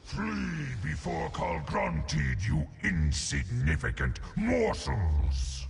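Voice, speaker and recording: A huge, contemptuous dragon growls in an extremely gravelly voice. The words come very slowly and swoop dramatically, full of haughty menace, over music in a fantasy game. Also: male voice, a little high, sing-song, exaggerated voice. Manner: haughty, menacing, drawn-out delivery. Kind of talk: fantasy video game villain acting.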